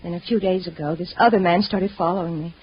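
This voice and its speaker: A woman's voice: fairly low pitch, steady tone, fast talker, husky. An actress playing an anxious, earnest young woman in distress, pleading and hesitant, in 1950s radio drama style. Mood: confiding, troubled. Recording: muffled vintage radio recording.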